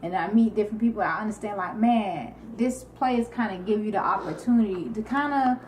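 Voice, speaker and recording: A woman from Florida hosting a talk show, talking in a relaxed, slangy, storytelling way. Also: medium pitch, brisk, a little hiss or hum. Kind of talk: casual chat.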